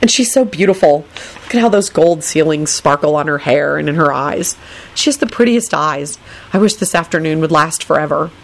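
Woman voicing an earnest, animated young man. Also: sits low, normal speed, gravelly, heavily acted. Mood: dreamy.

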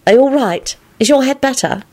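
A woman's voice: high-pitched, fairly quick, a little mellow, voice pushed up. A woman voicing a lovesick, easily frightened young woman in a storybook reading, plaintive and emotional. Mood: gentle concern.

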